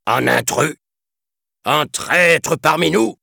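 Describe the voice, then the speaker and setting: A venerable elephant colonel from a storybook, stern and pompous. The voice is pushed up high and loud, barking in martial style with big swoops. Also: male voice, huge pitch swings, slow, creaky, no echo, clean studio sound, exaggerated voice. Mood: indignant. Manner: barking parade-ground commands. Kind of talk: children's storybook reading.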